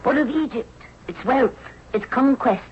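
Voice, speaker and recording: A woman's voice: sits high, normal speed, close to an everyday voice. An actress playing a proud, commanding young queen of ancient Egypt in a 1970s radio drama. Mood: cold, demanding. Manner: haughty, commanding. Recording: dull, noisy old radio broadcast recording.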